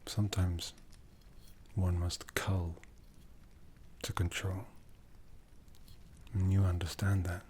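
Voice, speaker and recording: A man playing an ancient, brooding vampire lord in an ASMR roleplay, speaking in a breathy, hushed, solemn voice that slips into whispers. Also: deep voice, level tone, a little hiss or hum. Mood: grave, intimate. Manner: deliberate, solemn, intimate. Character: ancient vampire lord, brooding, commanding, softly menacing, courtly. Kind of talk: ASMR roleplay, soft speech slipping into whispers.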